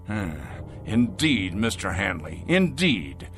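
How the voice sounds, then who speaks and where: Slow-talking, sardonic factory boss. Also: male voice, fairly low pitch, big pitch swings, a bit bright, full character voice. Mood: sardonic, forceful. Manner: sardonic, mocking, deliberate. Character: ruthless, sarcastic factory boss obsessed with efficiency. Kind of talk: radio drama acting.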